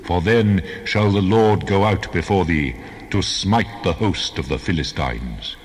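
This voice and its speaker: An actor voicing a majestic, commanding God with solemn authority in a dramatized Bible reading. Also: male voice, deep voice, a little slow. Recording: long cavernous reverb.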